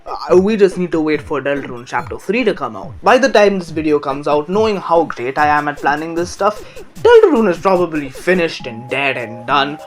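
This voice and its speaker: A man's voice: fairly high pitch, sing-song, normal speed. A young adult man hosting a casual, comedic commentary rant, joking crudely with sarcasm and exaggeration. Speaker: YouTube commentary host. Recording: music behind the voice. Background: background music.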